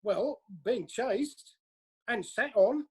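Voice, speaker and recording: A woman's voice: on the high side, expressive, extremely slow, husky, heavily acted. A woman playing a hapless working-class man on trial, plain-spoken and colloquial, in a courtroom audio drama. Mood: sheepish. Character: hapless working-class male pantomime-goer on trial as defendant.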